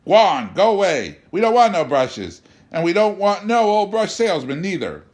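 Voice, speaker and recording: Guy doing a shrill bratty little kid, yelling and taunting, way up high. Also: sing-song, normal speed. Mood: bratty shouting. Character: bratty seven-year-old boy with toy pistol.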